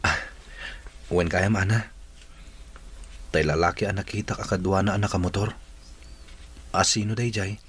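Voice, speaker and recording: An actor with an Ilocano Filipino accent playing a lovesick, brooding young student and respectful son in a radio drama. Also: male voice, deep voice, steady tone, moderate pace, pauses often, a bit bright. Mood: sheepish, earnest. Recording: clearly noisy track with background ambience.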